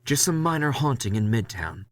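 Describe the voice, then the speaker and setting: A man's voice: mid-range voice, sing-song, moderate pace, no room sound, pitched above the performer's own voice, natural voice. A man voicing a stern, commanding male crew boss in an audio drama, exasperated and lecturing. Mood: firm, dismissive.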